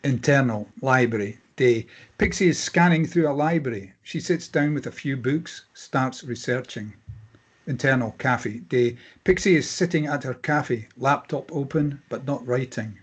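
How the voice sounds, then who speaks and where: A man's voice: mid-range voice, normal speed, husky. A man reading stage directions as scripted narration at a screenplay table read.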